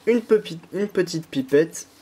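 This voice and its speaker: A man's voice: high-pitched, expressive, unhurried, warm tone, slight background noise. A young man from France with a slight head cold, patiently walking viewers through a candy kit tutorial step by step. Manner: patient, talking viewers through each step with many fillers. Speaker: YouTuber.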